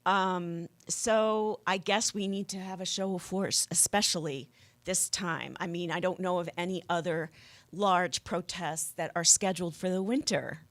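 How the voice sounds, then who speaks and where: A woman speaking as an interview guest and rally organizer, explaining things casually and haltingly as she searches for words. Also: on the low side, steady tone.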